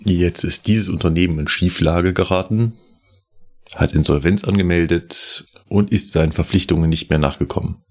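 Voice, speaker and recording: A man hosting a podcast, introducing the topic in a measured, explanatory way. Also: deep voice. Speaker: podcast host.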